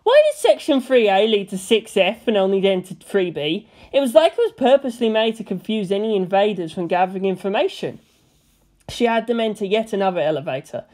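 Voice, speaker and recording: A woman's voice: medium pitch, expressive, fairly quick, warm tone. A woman narrator reading a scripted story as an audiobook.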